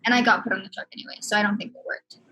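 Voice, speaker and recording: A woman's voice: medium pitch, steady tone, rapid-fire, bright, forceful tone, slight background noise, poor audio. A woman from Sudan, a guest speaking candidly and with feeling in a casual conversation. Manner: candid, heartfelt, many "you know what I mean".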